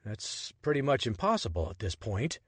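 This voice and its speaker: A man's voice: fairly low pitch, big pitch swings, normal speed, breathy edge, dry recording. A man doing a breezy, bold adventurer. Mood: charming. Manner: breezy, persuasive, expansive. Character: bold, chatty young male adventurer.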